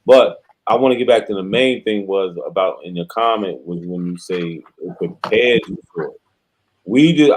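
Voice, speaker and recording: A man co-hosting a stream discussion, calmly explaining a point in casual slang as mediator. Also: sits low, low-quality recording. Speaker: co-host acting as mediator.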